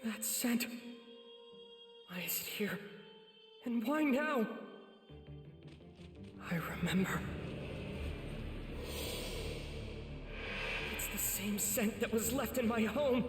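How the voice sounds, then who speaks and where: A man's voice: very high voice, slow, pauses often, reverberant, in a large hall, music in the background. A man playing an earnest, anguished teenage boy demon slayer in an English video game. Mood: uneasy, stunned. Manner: emotional, earnest.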